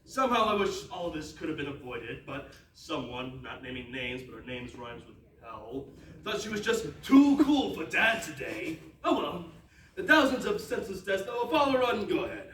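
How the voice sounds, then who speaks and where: A man's voice: a little high, very animated, moderate pace, a bit bright. A man playing a needy, melodramatic king in a roomy hall. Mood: sulky, grand. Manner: melodramatic, wheedling. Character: needy, insecure, petulant middle-aged fairy-tale king. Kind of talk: comic stage readers theatre. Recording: roomy, distant stage recording, faint noise.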